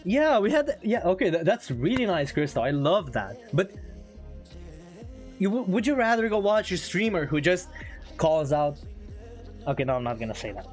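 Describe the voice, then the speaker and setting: A man's voice: high voice, sing-song, normal speed, pauses often. A man with English as his second language, chatting casually on a live trading stream, laughing often and reading chat aloud. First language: not English. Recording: faint music behind.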